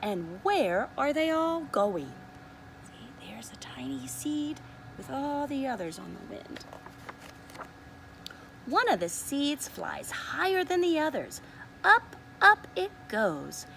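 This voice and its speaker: A woman reads a picture book to preschoolers in a high, lively, swooping voice. Her pace is unhurried and deliberate, with quieter asides that invite the children to look. There is faint noise behind her. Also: vocal fry. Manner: expressive, deliberate, often pausing to ask the listener to look. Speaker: preschool teacher reading aloud. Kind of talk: picture-book read-aloud to young children.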